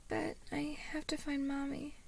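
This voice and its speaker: A woman voicing a timid six-year-old boy, lost and frightened, with a small breathy voice and stammering, hesitant speech in a story reading. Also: sits high, slow pace, a little mellow, voice pushed up, full character voice. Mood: scared, unsure.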